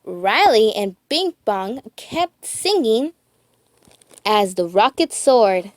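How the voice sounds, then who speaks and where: A woman reads a picture book aloud for young children. Her voice is high and swings expressively through the story. She takes it very slowly, with every phrase set out deliberately. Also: no room sound, clean studio sound. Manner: animated, deliberate.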